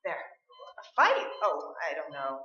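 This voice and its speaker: Roomy stage sound: woman acting no-nonsense, practical drama heroine, bright and emphatic. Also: deep voice, wildly swooping pitch, takes it slowly, faint music behind, exaggerated voice. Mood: calming. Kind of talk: staged radio play acting. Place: indoor stage, live performance. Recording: roomy, dull stage recording.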